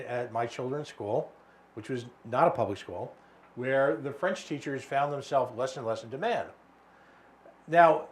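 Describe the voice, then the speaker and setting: A middle-aged man hosting a radio talk show, telling anecdotes with emphasis. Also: fairly high pitch.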